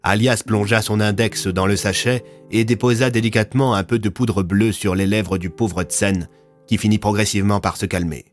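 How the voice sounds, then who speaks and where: Low-voiced man narrating a story. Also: steady tone, moderate pace, faint music behind. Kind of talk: scripted narration of audio fiction.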